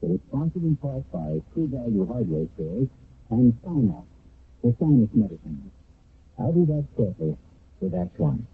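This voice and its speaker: A man hosting an old radio mystery drama, narrating in a measured, solemn storytelling manner. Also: medium pitch, very animated, creaky, slightly rough. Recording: tinny lo-fi old radio broadcast.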